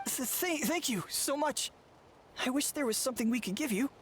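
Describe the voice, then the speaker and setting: A woman's voice: high voice, lively intonation, normal speed, slight background noise. A woman playing a timid, grateful traveler in a video game, hesitant and stammering. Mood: shaken, grateful.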